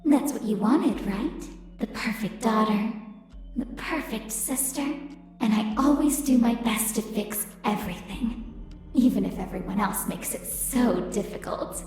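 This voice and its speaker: Smug taunting statue double, slow, drenched in echo, game horror. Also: female voice, a little high, darkish tone, faint music behind. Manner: mocking, self-righteous. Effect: heavy echoing reverb. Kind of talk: visual novel voice acting. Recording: heavy echo, long hall reverb.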